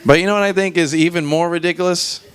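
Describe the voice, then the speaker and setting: A man performing conversational stand-up at a live open mic, animated and sweary, often checking in with the audience using short questions. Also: high-pitched, quick pace. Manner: animated, swearing, frequent rhetorical check-ins to the crowd. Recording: clearly noisy live open mic recording.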